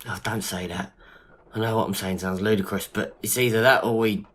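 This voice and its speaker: A man playing a loyal gentleman friend in a period audio drama, talking in a matey, colloquial way. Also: fairly low pitch, fairly even intonation, a little fast. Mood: insistent. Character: loyal, grieving gentleman friend, informal and companionable. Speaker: voice actor. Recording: noisy amateur audio drama recording.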